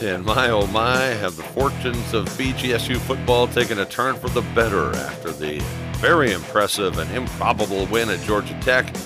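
An American man hosting a sports podcast, narrating a scripted football recap in a broadcast style. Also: lowish voice. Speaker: sports podcast host and radio play-by-play announcer.

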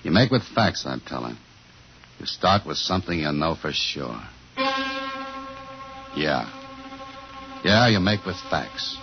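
A man's voice: very deep voice, very animated, slow pace, pauses often, heavily acted. An American actor playing a weary, terse police detective narrating his trouble in a 1950 radio noir drama. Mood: brooding. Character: hard-boiled American police detective, shaken husband of suspect. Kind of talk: 1950s radio noir acting, first-person narration. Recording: muffled vintage 1950 radio recording with music.

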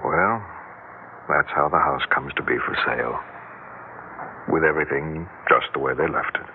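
An actor playing a wry, somber storyteller narrating a 1960s radio drama. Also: male voice, very deep voice, normal speed, background noise. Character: wry, somber storyteller describing a house for sale. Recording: tinny 1960s radio recording, music behind.

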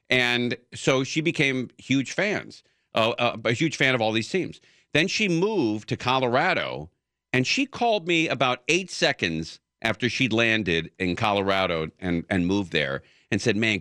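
Chatty man with bright, lively voice. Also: lowish voice, no room sound, clean studio sound. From Southern California. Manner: rambling storyteller with many fillers and restarts. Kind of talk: casual conversation.

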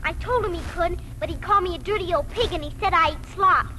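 High bright indignant little girl. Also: quick pace. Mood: sulky, defensive. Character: indignant little farm girl, daughter, quick to complain. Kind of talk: 1940s radio acting. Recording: noisy old 1940s radio recording.

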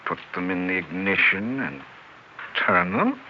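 An actor playing a suave, sardonic, tipsy husband and cold-blooded killer in a theatrical 1960s radio drama. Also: male voice, low-pitched, lively intonation, very noisy. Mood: gloating, sardonic. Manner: theatrical, mock-tender, deliberate. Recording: muffled vintage radio recording with music.

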